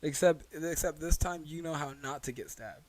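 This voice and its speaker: A man with a fairly high voice talks as a comedy podcast co-host. His style is loose and conversational, full of buddy slang. He often doubles back and repeats his own phrases. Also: moderate pace. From United States. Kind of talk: casual comedic chat.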